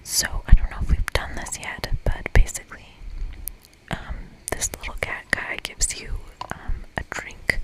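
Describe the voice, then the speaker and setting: A woman's voice: measured pace, low-quality recording. Whispering woman, casual ASMR game host. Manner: casual, chatty.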